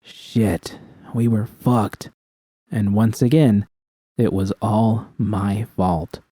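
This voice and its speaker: A man's voice: on the low side, steady tone, extremely slow, mellow, husky, dry recording, clean studio sound. A man reading a scripted first-person story as an audiobook narrator.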